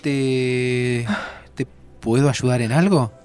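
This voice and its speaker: An actor with an Argentine accent playing a nervous, well-meaning time traveller in an audio drama, stammering and excitable. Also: male voice, medium pitch, takes it slowly, clear, resonant tone, soft background music, natural voice. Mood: hesitant, careful. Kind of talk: Spanish-language audio fiction acting.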